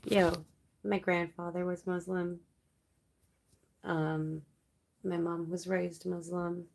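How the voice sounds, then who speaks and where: Young woman from Canada, livestream host, slow unhurried delivery, laid-back and rambling. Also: lowish voice, gravelly, slight background noise. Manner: relaxed, rambling, laughs often. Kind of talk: casual late-night chat with viewers.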